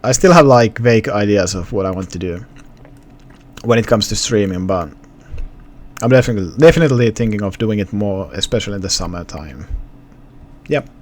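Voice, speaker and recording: A man's voice: fairly low pitch, lively intonation, brisk, long pauses. Young Finnish man chatting on stream. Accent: Finnish, partly suppressed. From Finland. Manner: rambling, restarts, talks to chat. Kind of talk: casual live-stream chat.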